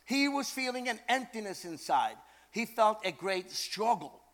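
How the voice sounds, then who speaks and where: An older man from Brooklyn, preaching in a storytelling style, animated and emphatic, repeating phrases for effect. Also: high-pitched, unhurried. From Brooklyn, New York, USA. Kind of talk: storytelling sermon.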